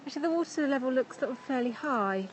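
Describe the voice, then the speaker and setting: A woman's voice: sits high, animated, quick pace, highs cut off, slight background noise. An English woman with a chatty manner, talking casually while walking outdoors by a lake and streaming live from a phone. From England. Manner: chatty, with frequent fillers and laughs. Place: outdoors by a busy lakeside.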